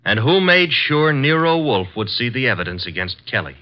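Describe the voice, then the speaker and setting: Man as a wry young sidekick, brisk and wisecracking, mid-pitched voice, dull old radio recording. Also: big pitch swings, close to an everyday voice. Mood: eager reasoning. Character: wry young male assistant detective, cocky. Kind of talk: 1950s radio drama acting. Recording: dull lo-fi 1950 radio transcription.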